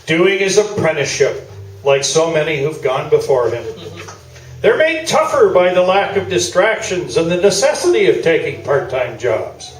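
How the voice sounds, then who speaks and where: Male actor as grandiloquent newspaper booster, high-pitched, smug radio-play columnist, distant roomy theatre recording. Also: big pitch swings, moderate pace. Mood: proud, grandiose. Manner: grandiloquent, self-satisfied. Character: pompous, boosterish male newspaper sports columnist, staunchly loyal. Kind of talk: live staged radio play dialogue. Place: small theatre stage, indoors. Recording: roomy, distant live theatre video, noisy.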